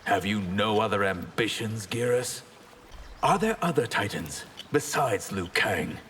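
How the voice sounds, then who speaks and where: Reverberant, earnest, repentant mage. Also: male voice, on the low side, lively intonation, unhurried, a bit bright, slight background noise. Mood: curious. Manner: earnest, questioning. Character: remorseful, inquisitive male mage seeking redemption. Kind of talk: video game character dialogue.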